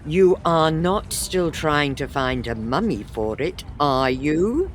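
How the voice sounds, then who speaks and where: A woman's voice: deep voice, expressive, slow pace, slight background noise. A woman acting a sensible, dryly exasperated middle-aged wife in a comic period farce. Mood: dryly practical.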